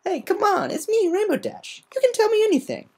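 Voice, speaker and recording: Lady doing brash pony hero, teasing slangy talk, higher voice, swings all over. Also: sing-song, a little fast, a little mellow, exaggerated voice. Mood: concerned, coaxing. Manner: breezy, teasing, casual slang. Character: brash, cocky sky-blue female Pegasus, playful but caring.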